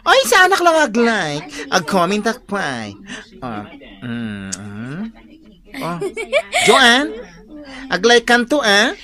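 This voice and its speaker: A woman acting a nosy, teasing, hungry friend in a comedic radio drama, exclamatory and drawn-out, with an Ilocano Filipino accent. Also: medium pitch, wildly swooping pitch, crowd noise.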